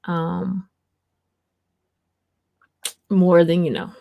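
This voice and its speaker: A woman's voice: on the low side, steady tone, drawn-out words, creaky, narrow, tinny audio. A woman hosting a live stream, chatting casually with her guest, thanking him and reading out viewer comments. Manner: warmly thankful, reads viewer comments aloud.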